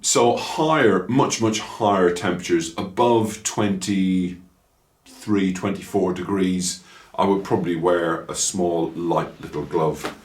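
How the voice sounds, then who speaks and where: A middle-aged Irish man with a low voice talks through a gear review in a relaxed, rambling way, dropping dry little asides. He records at his desk in a small room at home, and the sound carries a roomy echo. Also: slow pace. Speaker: motorcycle vlogger.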